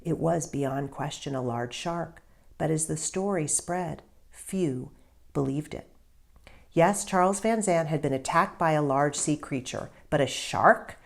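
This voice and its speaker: A woman with a fairly low voice reads aloud as an author sharing a story. Her narration is expressive and dramatic. She phrases things deliberately and leans on key words with emphasis. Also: gravelly. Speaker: author reading own book aloud.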